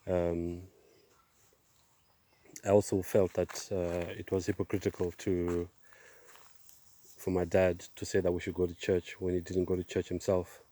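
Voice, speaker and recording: A middle-aged man giving a personal testimony outdoors, speaking in a halting, measured way with pauses. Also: low-pitched, level tone, mellow. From Zimbabwe, born in Zambia. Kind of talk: personal testimony, casual monologue. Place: outdoors, on a walk.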